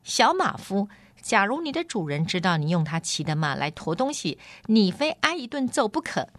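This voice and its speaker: A woman voicing a rough, bullying male soldier in a storybook, mocking and threatening. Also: lowish voice, animated, moderate pace, no room sound.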